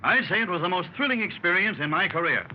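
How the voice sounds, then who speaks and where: Here is a dramatic male explorer from a 1930s radio adventure. His voice is high and swings widely as he tells the tale, and it turns to urgent shouted orders when the chase begins. The sound is a muffled old radio recording with faint hiss. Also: expressive, fast talker. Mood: modest. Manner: dramatic storytelling, shouting orders in the action scene. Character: daring male explorer recounting a jungle escape. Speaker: club member telling his adventure.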